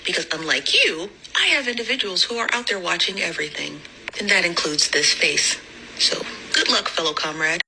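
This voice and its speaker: A woman's voice: lowish voice, lively intonation, moderate pace, vocal fry, pushed and bright, a little hiss or hum, poor audio. A woman content creator speaking in a lecturing, accusatory way in a clip from her own video. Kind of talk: clipped video callout.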